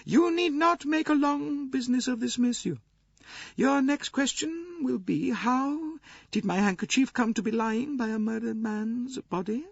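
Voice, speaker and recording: A man voicing an indomitable old Russian princess, haughty, cold and contemptuous, speaking with slow deliberation in an audiobook. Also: extremely high pitch, creaky, no room sound, dull audio, voice pushed up, full character voice. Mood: dry, weary.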